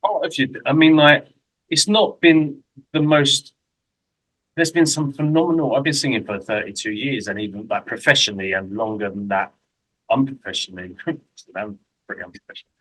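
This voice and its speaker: A man with a London accent hosting an interview, chatty and self-deprecating with quick asides. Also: mid-range voice, a bit bright, breathy edge, poor audio. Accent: London English. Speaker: host. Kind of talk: casual interview chat. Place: lives in Mexico.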